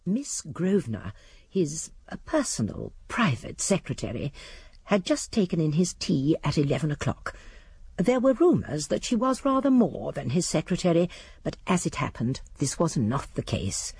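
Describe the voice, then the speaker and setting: Elderly English lady sleuth, prim, shrewd, very breathy and husky, expressive intonation, radio drama narration. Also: low voice, moderate pace, no room sound, full character voice. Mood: prim, gossipy. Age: older. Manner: prim, precise storytelling. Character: genteel, shrewd elderly spinster sleuth, primly judgmental.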